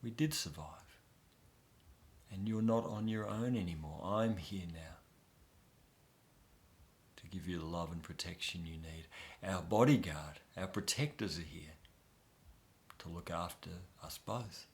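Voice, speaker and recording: A man guiding a soft, soothing inner-child meditation with long pauses. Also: low-pitched. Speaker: meditation guide.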